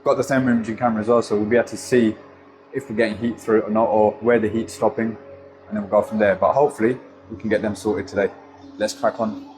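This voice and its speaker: A man's voice: lowish voice, flat delivery, quick pace, music in the background, poor audio. Bunged-up tradesman. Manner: chatty, explains work step by step. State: stuffy nose from a cold. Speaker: gas engineer. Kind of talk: casual work vlog to camera. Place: customer's house. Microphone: handheld phone.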